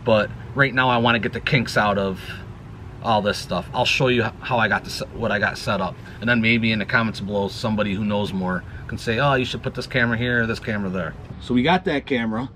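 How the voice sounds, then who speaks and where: A chatty man with a bright, forceful voice talks through a loose channel update, stopping often to rephrase himself. He is recorded outdoors on action cameras, with faint noise in the background. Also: on the low side, brisk. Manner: rambling, self-correcting, chatty. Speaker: YouTube vlogger. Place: outdoors near a woodpile.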